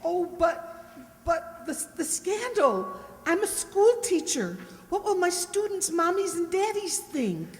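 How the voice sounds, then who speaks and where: A woman's voice: extremely high pitch, sing-song, slow pace, darkish tone, a little hiss or hum, heavily acted. A woman playing a prim, fretful and naive schoolteacher with a hesitant, plaintive manner in an old-time radio drama style, in a reverberant recording. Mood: fretful, timid. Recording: very reverberant room or hall.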